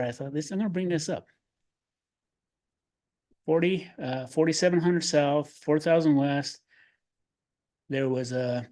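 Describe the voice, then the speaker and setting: A man's voice: a little high, creaky, darkish tone. An older man giving a casual, rambling public comment at a council podium, folksy and unscripted. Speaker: public commenter, local resident. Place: city council chamber.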